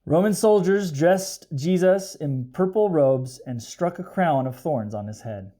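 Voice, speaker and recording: A man reading a storybook aloud for children in an explanatory way, pausing to question his young listeners. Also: fairly high pitch, expressive, warm tone. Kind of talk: expressive children's read-aloud with asides.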